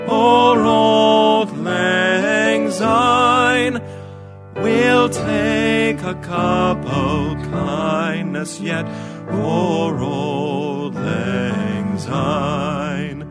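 A woman sings a sentimental song in a middle register. The phrasing is very drawn out, and loud music accompanies her. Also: on the low side. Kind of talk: slow sentimental singing. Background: prominent musical accompaniment.

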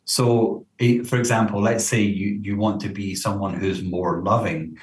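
A man's voice: lowish voice, little pitch movement, a little slow. A man speaking as a counsellor being interviewed, explaining in a conversational way and often checking in with the listener. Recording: roomy echo, distant from mic.

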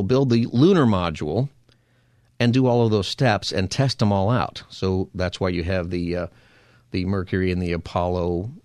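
Earnest low-voiced male radio host. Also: a little mellow, no echo, clean studio sound. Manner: earnest, encouraging, preacher-like exhortation. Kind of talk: faith-based talk radio monologue. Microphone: studio microphone.

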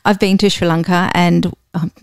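Female podcast host, lowish pitch, encouraging casual interviewer, dry studio sound. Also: little pitch movement, normal speed, smooth, dry recording. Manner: warm encouraging interviewer, frequent affirmations.

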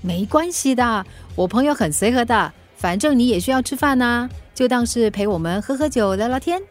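An actress plays a high-voiced woman who keeps the peace among friends. She is caring, a little nosy and coaxing, with expressive radio-drama delivery over faint music. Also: normal speed, natural voice. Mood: friendly, teasing.

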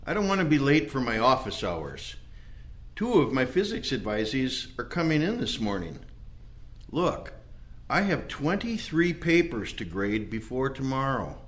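A man's voice: mid-range voice, sing-song, measured pace. A man playing a confused, insistent elderly professor in an audio play, urgent and indignant. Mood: preoccupied.

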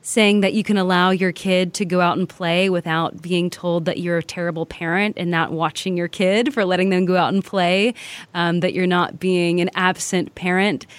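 A woman's voice: lowish voice, fairly even intonation, moderate pace, smooth, dry recording. A woman from the United States interviewing a guest in a casual, curious and chatty way, often using fillers.

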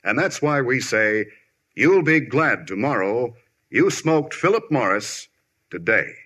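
A man's voice: medium pitch, lively intonation, slow pace, a bit bright. An American man announcing in formal, persuasive 1950s radio commercial style, with emphatic phrasing.

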